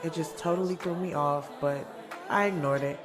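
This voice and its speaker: A woman's voice: deep voice, fairly even intonation, normal speed, crowd noise, close to an everyday voice. A woman playing a sassy, candid young woman in an audio drama, telling her story in a casual, slangy way, swearing freely. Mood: reflective. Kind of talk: audio drama first-person narration and dialogue. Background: music.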